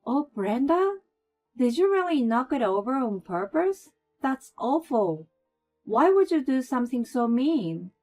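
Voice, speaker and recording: A cheerful, good-natured young sister-in-law speaks a little higher than the teller, friendly and bright, played by a woman narrator. Faint music runs beneath the storybook reading. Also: sing-song, unhurried, full character voice.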